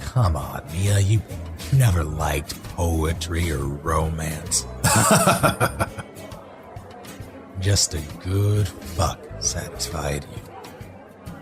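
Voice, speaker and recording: Sardonic husband male actor, horror audio drama: bottomless voice, unhurried taunting delivery, brooding and bitter. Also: rumbling low, music in the background, full character voice.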